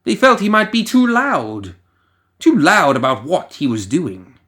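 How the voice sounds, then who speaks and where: A man reading a scripted story as narrator, in a theatrical, ominous manner with lingering pauses. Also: a little high, wildly swooping pitch, fairly bright tone.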